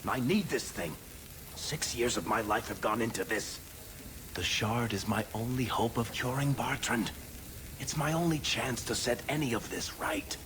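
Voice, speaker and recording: A man's voice: mid-range voice, moderate pace, noisy background, close to an everyday voice. A man voicing a wry, loyal dwarf rogue and storyteller in a video game cutscene, with a dry, sardonic manner. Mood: urgent, driven.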